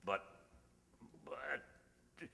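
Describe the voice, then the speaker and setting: A man acting in a radio sitcom as a shy, oblivious biology teacher, earnest, halting and pedantic. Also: sharp tone. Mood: flustered, pedantic. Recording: echoey hall, noisy background.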